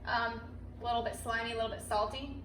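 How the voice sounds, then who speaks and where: Fast-talking young American woman, echoey recording. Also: a little high, steady tone. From United States. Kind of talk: casual chat. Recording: echoey room, distant mic, noticeable noise.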